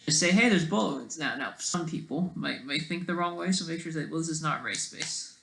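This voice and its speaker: Creaky-voiced speaker rambling through casual commentary. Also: medium pitch, fairly quick, a bit bright, a little hiss or hum, poor audio. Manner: rambling, thinking aloud, frequently digressing. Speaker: video creator.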